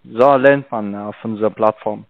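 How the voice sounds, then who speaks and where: A non-native man with a foreign accent and broken grammar, giving halting instructions as a call centre agent. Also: fairly low pitch, no echo. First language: not German. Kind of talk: sales phone call. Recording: dull lo-fi phone call, dry.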